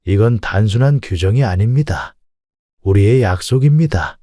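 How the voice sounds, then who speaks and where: A man's voice: lowish voice, expressive, normal speed, mellow, dry recording, clean studio sound. A man playing a firm, principled company president, speaking formally and with authority in audiobook story dialogue.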